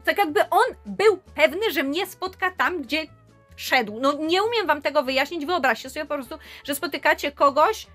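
A young woman vlogging to camera in a small room, telling a story in an animated, chatty way. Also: high voice, moderate pace, faint music behind. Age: young adult. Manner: animated, chatty, gesturing with her hands.

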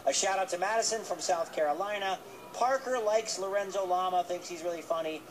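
A man's voice: sits high, fairly quick. A man presenting a children's radio show in a casual, chatty style. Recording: noisy recapture of radio playing in room.

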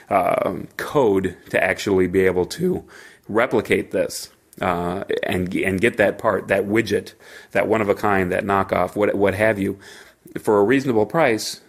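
Gravelly-voiced man with a head cold. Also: fairly low pitch. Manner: rambling, many fillers and restarts. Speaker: podcast host. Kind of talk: solo podcast monologue. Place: hotel room. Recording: slightly echoey hotel room.

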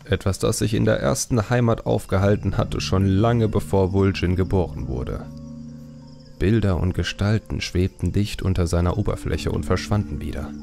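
A man's voice: low-pitched, normal speed, vocal fry, music in the background. A man reading a fantasy audiobook as scripted dramatic narration.

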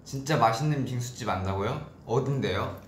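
A man's voice: mid-range voice, a little fast, slight background noise. A young man hosting a casual livestream, reading viewer comments aloud and teasing his bandmates. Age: young adult. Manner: playful, teasing, reads chat aloud. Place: small indoor room at a table. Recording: roomy room echo.

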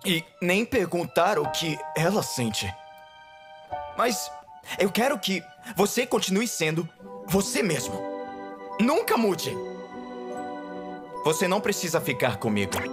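A man's voice: sits high, very animated, slow, pauses often, music in the background, heavily acted. A man with a Brazilian accent voicing a regal, lovelorn owl demon prince in a dubbed adult cartoon, formal, earnest and tender.

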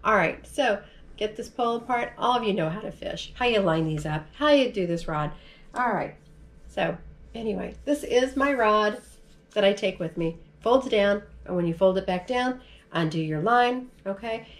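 A middle-aged American woman talks to the camera in a chatty, down-home way. Her voice sits at an ordinary female pitch. She explains things informally, like a vlogger showing gear at her own table. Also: lively intonation, moderate pace. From United States. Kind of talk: casual product demonstration. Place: large furnished room at home, indoors.

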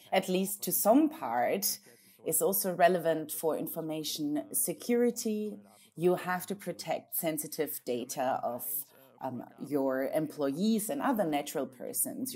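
Low-voiced woman interpreting a conference talk. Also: mellow, breathy edge. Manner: measured, with frequent hesitations and self-corrections.

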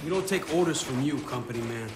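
A man's voice: mid-range voice, animated, fast talker, natural voice. Man playing a mouthy young sergeant, echoey. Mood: defiant, skeptical. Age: young adult. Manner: confrontational, skeptical. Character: defiant, mouthy young male army sergeant. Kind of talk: video game voice acting. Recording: echoey hall, noisy ambience.

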